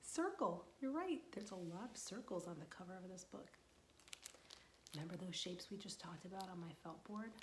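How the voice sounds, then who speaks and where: A young woman librarian leading a storytime for young children, asking questions in a sing-song voice and dropping to soft whispers for suspense. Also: on the low side, lively intonation, normal speed, slight background noise.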